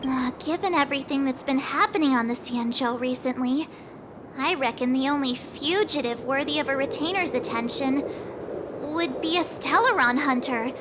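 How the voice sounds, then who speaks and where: A woman voicing a sweet, cheerful young girl who loves cute animals, expressive and playful, in a video game. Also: high-pitched, moderate pace, background noise, lightly acted. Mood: curious, thoughtful. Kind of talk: video game character voice acting.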